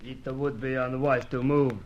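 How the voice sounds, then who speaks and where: A man plays a coolly polite, menacing villain in an old radio adventure. He speaks formally and deliberately at a medium pitch. The recording is lo-fi with faint noise. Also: flat delivery, natural voice. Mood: coldly threatening. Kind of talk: old-time radio serial acting.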